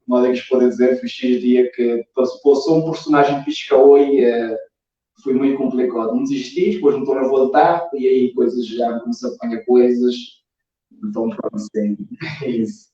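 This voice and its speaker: Male interview guest, Cape Verdean accent, mellow and hesitant, heard from far off in a very reverberant space. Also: medium pitch, fairly even intonation, moderate pace. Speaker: interview guest, animation creator. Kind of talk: casual interview answers. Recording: very echoey, distant, dull sound.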